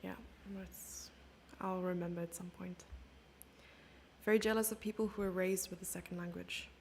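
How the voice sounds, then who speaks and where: South African woman with a gravelly edge. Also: fairly low pitch, steady tone, normal speed, slight background noise. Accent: South African English. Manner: chatty, reads chat questions aloud and answers them. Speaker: chess commentator and streamer. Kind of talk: live chess commentary, chatting with viewers.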